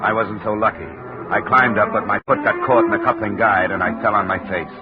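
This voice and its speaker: An actor narrating and playing a wry, tough insurance investigator in a 1950s radio drama. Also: male voice, on the low side, steady tone, brisk, over music, natural voice. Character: hard-boiled male insurance investigator. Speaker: narrator and lead. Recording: dull 1950 radio broadcast audio.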